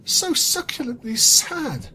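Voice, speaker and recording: A man's voice: extremely high pitch, huge pitch swings, slow pace, sharp tone, a little hiss or hum, voice pushed up, full character voice. A man voicing a dramatic, sad female octopus-pony from a cartoon fan story, exclaiming melodramatically. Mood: tearful, sorrowful.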